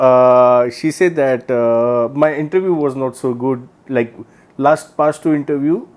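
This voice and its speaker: A man's voice: on the high side, darkish tone. Chatty man with loose grammar. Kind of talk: casual chat.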